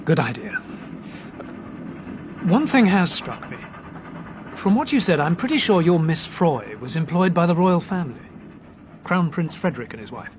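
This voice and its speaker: An actor playing a brisk young Englishman who reasons deliberately and articulately, in a British radio drama. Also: fairly high pitch, big pitch swings. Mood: reasoning, brisk. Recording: noisy train background ambience.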